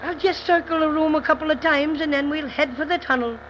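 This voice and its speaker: A woman playing a small, brisk, kindly toy bear guide in a 1930s radio play. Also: high voice, close to an everyday voice. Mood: cheerful. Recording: muffled noisy 1930s radio recording.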